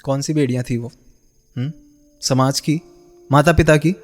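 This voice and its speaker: A man's voice: mid-range voice, steady tone, moderate pace, soft background music, close to an everyday voice. An actor playing a bitter, heartbroken young man in a radio drama, sarcastic and accusing as he confronts the woman he loved. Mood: accusing, hurt. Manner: sarcastic, accusing, with pointed rhetorical questions. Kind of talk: Hindi radio play acting.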